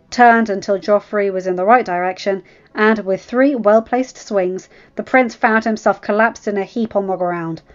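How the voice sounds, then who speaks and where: A woman narrates a fantasy story in an expressive storytelling voice pitched a little low. The recording is slightly dull, and music plays clearly behind her. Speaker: fan fiction audiobook reader.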